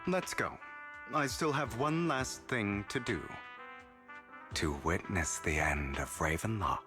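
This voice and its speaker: An actor playing a suave, theatrical male con artist in a game cutscene, smooth and dramatic, dropping to a whisper at times. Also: fairly low pitch, expressive, takes it slowly, music in the background. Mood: scheming.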